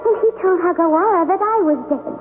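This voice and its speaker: A woman's voice: extremely high pitch, quick pace. An actress playing a lovelorn young noblewoman's ghost in a 1970s radio drama, plaintive, sighing and formal. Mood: sorrowful longing. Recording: phone-like vintage radio, music behind.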